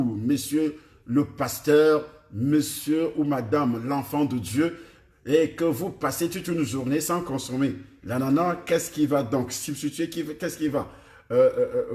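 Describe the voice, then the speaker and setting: Cameroonian preacher teaching in an echoey room. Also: male voice, fairly high pitch, a little mellow. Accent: Cameroonian French. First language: Ewondo. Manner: repetitive, addresses listeners directly with questions. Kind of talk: teaching on health and food to a congregation.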